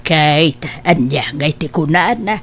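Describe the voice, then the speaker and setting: Woman acting a slow-talking, fretful older mother. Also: low-pitched, expressive, a little hiss or hum. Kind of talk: Ilocano audio drama acting.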